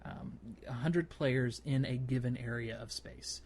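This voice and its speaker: A man's voice: mid-range voice, measured pace, darkish tone. A man hosting a gaming podcast, explaining things in a casual, conversational way, with faint noise behind him. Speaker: podcast host.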